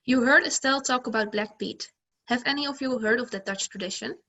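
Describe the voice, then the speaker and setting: A woman host with a very bright, forceful voice, pitched a little high. She presents from a script. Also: brisk.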